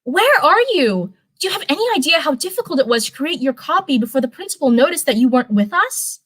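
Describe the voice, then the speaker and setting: Young fairy girl played by a female reader, stern and reproachful, raised pitch, storybook dialogue. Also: very animated, quick pace, fairly bright tone, no echo. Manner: reproachful questioning. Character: scolding, displeased young fairy leader girl.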